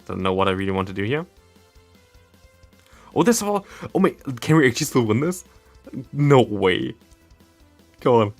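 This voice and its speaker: A man's voice: medium pitch, very animated, normal speed, pauses often. A man from Germany with a German accent, casually commentating a game and chatting as a livestreamer in a rambling, self-deprecating way. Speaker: livestreamer and content creator. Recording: music playing behind the voice. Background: background game music.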